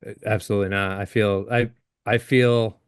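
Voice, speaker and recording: A man in his late thirties, a guest in a casual interview, talking in a halting way with frequent restarts and easy laughs. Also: on the low side, fairly even intonation, clear, resonant tone, no echo, studio quality. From Upstate New York. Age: 30s.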